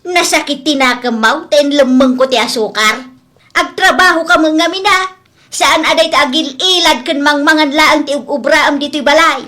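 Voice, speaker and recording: A woman acts out a spiteful mother-in-law in a comedy drama, a grandmother who scolds with open scorn. The voice is high and sharp, and the manner is insulting. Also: moderate pace. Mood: scornful, angry.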